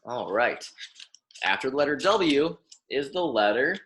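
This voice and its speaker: A man's voice: medium pitch, big pitch swings, unhurried, fairly bright tone, slight background noise. A young American man reading a picture book aloud as a lesson for children, repeating and emphasising words in an instructive way. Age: young adult.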